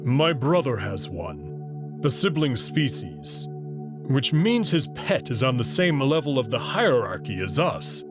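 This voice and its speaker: A guarded young wolf prince from a sci-fi game, acted out by a man. He explains himself slowly and earnestly, with reluctant pride. Music sits clearly behind his words. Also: mid-range voice, big pitch swings. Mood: proud, explaining.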